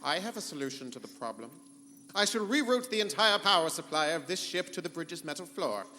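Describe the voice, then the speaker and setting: A man's voice: fairly high pitch, expressive, fairly quick, bright, forceful tone. A man playing a pompous, condescending rogue ship computer with grand formal speech in a live pulp sci-fi radio comedy. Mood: smug, threatening. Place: live convention stage. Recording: echoey hall, noise and hum.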